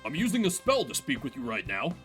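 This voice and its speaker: A man voicing a formal, fretful gentleman mage in a visual novel, loud, polite and urgent. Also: medium pitch, huge pitch swings, a little fast, faint music behind. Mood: urgent, worried. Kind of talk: visual novel character dialogue.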